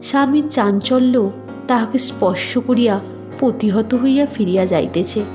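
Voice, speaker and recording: A woman reading scripted literary narration as a storyteller, measured and expressive. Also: fairly high pitch, soft background music.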